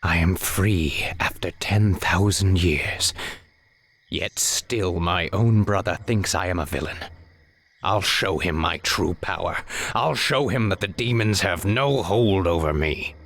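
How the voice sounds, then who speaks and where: A man's voice: low-pitched, slow, a bit bright, exaggerated voice. A man voicing an ancient elf demon hunter in a game cinematic, proud, vengeful and haughty, speaking in a brooding manner. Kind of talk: video game cinematic acting.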